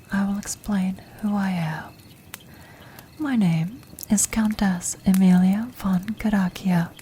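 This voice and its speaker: A woman voicing an ancient, commanding vampire countess in a soft, breathy, formal whisper for an ASMR fantasy roleplay. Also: medium pitch, a little hiss or hum, close to an everyday voice. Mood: composed, formal. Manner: slow, formal, measured, regal.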